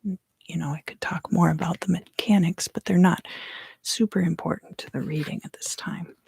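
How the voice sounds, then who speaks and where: Soft whispery lady doing asmr tarot on stream, real slow n soothing, lowish creaky voice. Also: fairly even intonation, no room sound. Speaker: tarot reader and livestream host.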